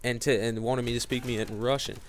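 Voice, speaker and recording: A man's voice: medium pitch, flat delivery, quick pace, slight background noise. A young man vlogging, telling a story casually to camera, rambling with lots of fillers and restarts.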